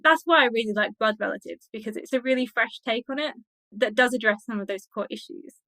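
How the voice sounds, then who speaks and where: A woman's voice: fairly high pitch, quick pace, a bit bright, no echo. A woman speaking as an expert guest in a conversational interview, explaining her thoughts with enthusiasm.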